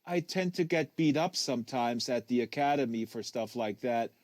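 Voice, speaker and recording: A man's voice: mid-range voice, animated, normal speed, darkish tone, no echo, close to an everyday voice. A man reads as a precocious young boy in a literary audiobook. He is wary and literal, stumbling over some words and exact to the point of pedantry. The sound is close and dry. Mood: earnest, inquiring. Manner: hesitant, stammering, pedantically exact. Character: precocious, wary, literal-minded ten-year-old tennis prodigy boy.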